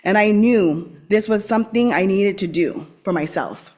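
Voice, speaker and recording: A woman's voice: medium pitch, moderate pace, darkish tone. An adult woman, a recent graduate, reading a sincere, personal speech at a school board meeting. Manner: sincere, personal, reads steadily. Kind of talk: prepared graduation-style speech.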